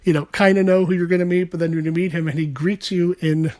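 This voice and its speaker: A man's voice: high voice, flat delivery, fast talker, darkish tone, no room sound, clean studio sound. A man reminiscing casually about a friend.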